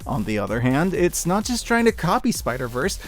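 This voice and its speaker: A man's voice: fairly high pitch, very animated, fairly quick. A man in his thirties giving scripted, enthusiastic video-essay commentary as a host, in an emphatic, gushing, informal way. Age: 30s. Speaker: host of a year-in-review media video. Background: music.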